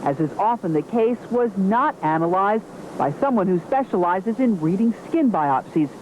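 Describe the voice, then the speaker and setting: A woman's voice: mid-range voice, lively intonation, moderate pace, a little mellow, a little hiss or hum, low-quality recording. A woman reporter reading scripted narration for a television news report.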